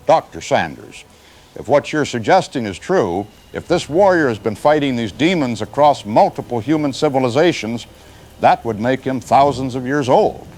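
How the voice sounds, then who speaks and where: A man playing a serious, probing news anchor in an audio drama broadcast, measured and formal with a concerned manner. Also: medium pitch, expressive, darkish tone, close to an everyday voice. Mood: concerned, incredulous.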